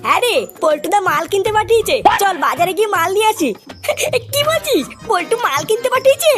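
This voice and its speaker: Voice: extremely high pitch, sing-song, quick pace, a bit bright, faint music behind, exaggerated voice. A performer voicing a brash, cheeky, foul-mouthed cartoon character in a comic dub, exclamatory and swaggering. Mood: cheeky, excited.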